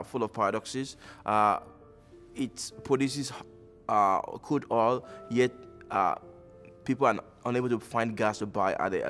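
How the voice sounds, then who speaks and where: Nigerian man, 30s, lowish voice, thoughtful interview subject with pauses, faint music behind. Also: a bit bright. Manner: reflective, with frequent "uh" pauses. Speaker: alumnus interviewee. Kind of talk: documentary interview.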